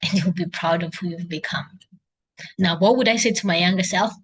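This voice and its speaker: A woman's voice: lowish voice, a little fast, slightly rough. Kenyan-accented woman, chatty video diarist. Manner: chatty, thinking aloud, self-encouraging. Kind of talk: casual unscripted self-reflective video diary. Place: indoors at home, by a couch. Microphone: laptop.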